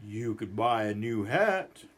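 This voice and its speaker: A man voicing a patient pony who gently coaxes and suggests things in a storybook read-aloud. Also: lowish voice, lively intonation, slow pace, pitched below the performer's own voice. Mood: patient coaxing. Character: mild, coaxing pony who turns melodramatically wounded.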